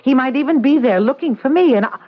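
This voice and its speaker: An actress playing an anxious, distraught wife searching for her husband in a 1970s radio drama, speaking in a halting, urgent way. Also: high-pitched, animated. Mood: earnest, urgent. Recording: dull old radio recording with music.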